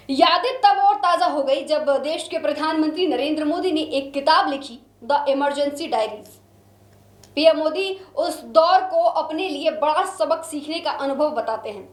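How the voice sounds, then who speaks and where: A woman's voice: sits high, echoey room. A woman news presenter delivering dramatic scripted narration in an emphatic, solemn way.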